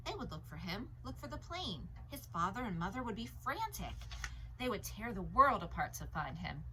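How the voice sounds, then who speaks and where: A woman narrating a novel as a read-aloud, in an expressive audiobook style. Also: mid-range voice, moderate pace. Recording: noticeable background noise.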